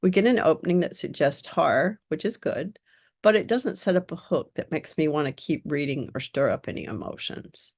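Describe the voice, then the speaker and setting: A woman giving a prepared critique as a reviewer, in a measured, direct and instructive way. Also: deep voice, animated, darkish tone. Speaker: script reviewer.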